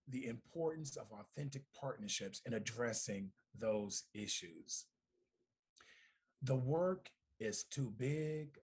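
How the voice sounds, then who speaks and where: Deliberate, emphatic man giving congratulatory remarks. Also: medium pitch, a little mellow, husky. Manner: deliberate, emphatic, with rhetorical repetition. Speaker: community organization director giving recorded remarks. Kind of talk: congratulatory speech to camera. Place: at home.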